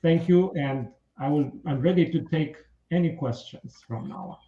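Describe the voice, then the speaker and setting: A man with a Romanian accent speaking as a political candidate in a radio interview, in formal, earnest, halting phrases. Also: on the high side. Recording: muffled, roomy radio interview sound.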